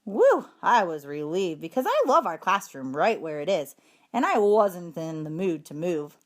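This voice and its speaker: A woman voicing a small, eager, chatty male classroom hamster telling his story with earnest excitement. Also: on the low side, unhurried. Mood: excited.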